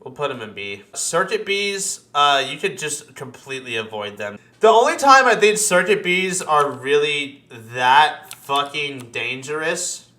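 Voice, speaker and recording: Guy hosting a loose gaming chat, kinda high bright voice, swears a bunch, echoey room. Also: very animated, unhurried, few pauses. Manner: profane, slangy. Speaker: host. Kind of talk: casual gaming chat, ranking game monsters.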